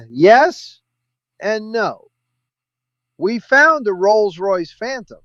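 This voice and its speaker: An actor playing a gruff, impatient police officer in an audio drama, brusque and exasperated. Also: male voice, high-pitched, big pitch swings, drawn-out words, fairly bright tone, dry recording, clean studio sound, heavily acted.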